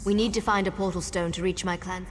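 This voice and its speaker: A woman's voice: fairly low pitch, steady tone, fast, noisy background, natural voice. A woman voicing a determined, practical wood elf guide in a video game, purposeful and instructive. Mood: urgent.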